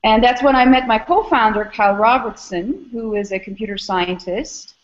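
Higher-voiced woman on a dull, lo-fi recording. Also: crowd noise. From Istanbul, Turkey. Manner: measured, academic phrasing. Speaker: interview guest, startup founder and former academic. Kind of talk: explanatory interview answers.

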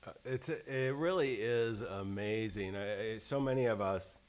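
Halting, rambling podcast host. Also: male voice, fairly low pitch. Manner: rambling, halting questions full of fillers. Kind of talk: interview questions. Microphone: video call.

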